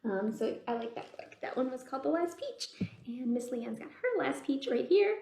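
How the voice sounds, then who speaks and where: A woman's voice: high-pitched, sing-song, moderate pace, darkish tone, a little hiss or hum. A woman hosting a children's storytime, explaining things simply and putting questions to young listeners. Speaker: children's librarian, storytime host. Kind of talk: children's storytime read-aloud and sign teaching. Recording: roomy, echoey indoor sound.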